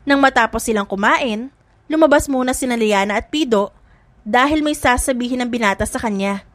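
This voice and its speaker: A woman narrates a dramatic audiobook story in a high voice. The recording is dry and close, with very little room sound. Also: a little fast, fairly bright tone, no room sound.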